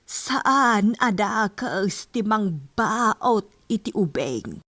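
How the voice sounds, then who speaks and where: A woman playing a gentle grandmother in an audio drama, coaxing and softly admonishing. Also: mid-range voice, sing-song, drawn-out words, no room sound, full character voice. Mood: gently reproving. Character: gentle, concerned grandmother counselling her family. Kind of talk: melodramatic audio drama acting.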